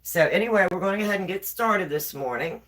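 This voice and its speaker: A woman's voice: on the low side, expressive, brisk, fairly bright tone. Chatty woman with a West Virginia accent. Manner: chatty, repeats herself, reads viewer comments. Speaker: live stream host. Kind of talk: casual cooking live stream chat. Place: home kitchen. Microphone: camera on a tripod.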